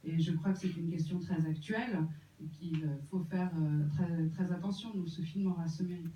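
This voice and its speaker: Halting interpreter in an echoey hall. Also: mid-range voice, fairly even intonation, warm tone. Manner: halting, many fillers. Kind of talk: consecutive interpreting. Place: cinema auditorium. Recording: muffled, echoey hall from far off.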